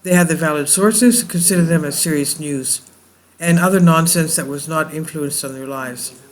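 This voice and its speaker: A man hosting a video, reading an old news report and adding commentary in measured, halting phrases. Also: on the high side. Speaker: video host.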